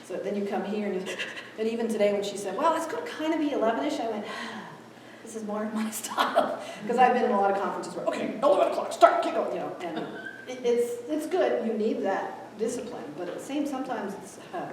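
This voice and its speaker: A woman who grew up in Ethiopia gives a lively conference talk and acts out bits of dialogue as she goes. Her voice is picked up from a distance and rings through a big echoey hall, with a steady hiss of room noise underneath. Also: a little high, a little fast. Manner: animated, acts out quoted dialogue. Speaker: conference speaker. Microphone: distant room mic.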